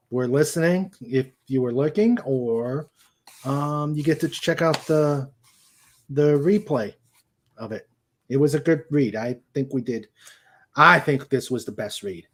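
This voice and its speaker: An older man hosting a casual livestream, greeting viewers in a halting way and often trailing off mid-sentence. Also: medium pitch, a little hiss or hum. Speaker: livestream show host.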